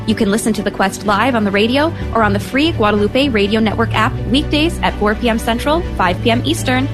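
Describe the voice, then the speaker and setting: A woman's voice: on the high side, brisk, music in the background. A woman hosting a radio show, reading a scripted promo in an inviting way.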